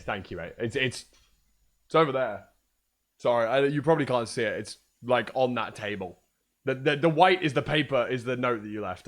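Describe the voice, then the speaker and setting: A young man with a hoarse voice, chatting casually and joking on a livestream. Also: mid-range voice, fairly bright tone. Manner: joking, trash-talking, swears a lot. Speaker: streamer.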